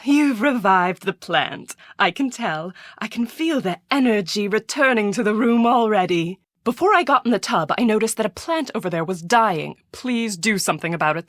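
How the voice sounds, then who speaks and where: Casting: female actor for vain, wealthy game villainess, condescending and imperious, highly animated pitch, dry close sound. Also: no room sound, lightly acted. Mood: imperious, languid. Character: vain, haughty rich woman doting on her pet, secretly villainous. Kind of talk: video game character dialogue.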